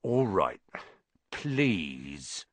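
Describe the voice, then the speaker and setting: A man's voice: low voice, big pitch swings, drawn-out words, no echo, pitched below the performer's own voice, heavily acted. Man playing an irritable, demanding school caretaker. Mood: grudging. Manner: demanding, grumbling.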